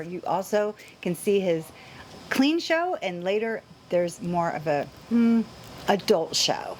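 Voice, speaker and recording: A woman from New Jersey hosting a travel show, asking questions in an upbeat, chatty, rambling way. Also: lowish voice. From New Jersey, USA. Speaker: travel show host interviewer. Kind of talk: casual interview chat. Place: on board a cruise ship. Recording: noisy background on a ship.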